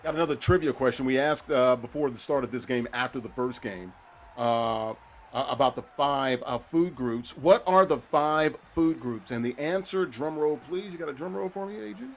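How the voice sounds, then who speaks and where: A middle-aged man doing play-by-play commentary on a football video game tournament, his voice jumping up with excitement on big plays. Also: mid-range voice, normal speed. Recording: faint background noise.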